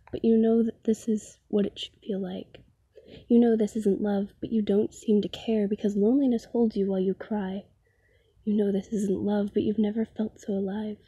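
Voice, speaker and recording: Breathy, muted woman reciting slam poetry. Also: mid-range voice, dark tone, no echo. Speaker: poet.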